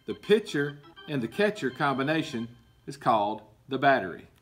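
A middle-aged man reading a picture book aloud to children as a coach, explaining in a deliberate, emphatic, instructive way. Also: mid-range voice, big pitch swings, soft background music. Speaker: baseball coach and PE teacher. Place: indoor school room with book shelves.

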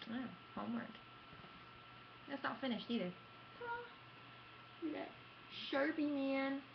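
A young adult woman chatting casually to camera as a gaming vlogger, with an animated, self-deprecating manner and dramatic emphasis. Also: medium pitch. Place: small room at home, indoors. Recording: muffled lo-fi webcam audio, background noise.

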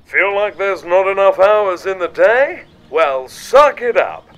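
An actor playing a brash advert announcer in a parody commercial voice-over. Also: male voice, sits high, lively intonation, a bit bright, exaggerated voice. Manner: hard sell, then rapid muttered disclaimers.

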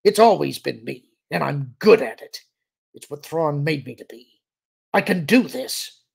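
Fanatical, vengeful military major, acted by a male narrator. Agitated, sneering, insistent, gravel in the throat, forceful and loud. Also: on the high side, animated, a little slow, voice pushed up, exaggerated voice. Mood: agitated, shouting.

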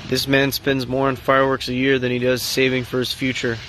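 A man's voice: medium pitch, completely flat delivery, fairly quick, a bit bright, a little hiss or hum, poor audio. A young man filming on his phone in a large indoor store, making teasing, wisecracking comments and laughing often. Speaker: vlogger filming. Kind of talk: casual joking commentary. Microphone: handheld phone camera.

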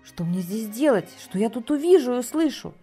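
A dreamy young fir tree from a storybook, proud and wistful, as a woman puts her on. The voice swoops lively up and down and leaps high when she protests she is not old. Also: fairly quick, breathy edge, soft background music. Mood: puzzled. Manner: gentle storybook acting, rising indignant when called old.

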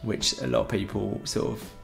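A man's voice: lowish voice, steady tone, moderate pace, gravelly, soft background music. A young man with a headset mic, giving a rambling, chatty photography tutorial in a room at home. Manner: rambling, chatty, many fillers. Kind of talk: casual live-stream tutorial.

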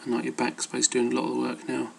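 A man's voice: mid-range voice, monotone, racing, creaky, a little mellow, a little hiss or hum. A man giving casual, rambling advice as an amateur fitness vlogger, hesitant and loosely worded.

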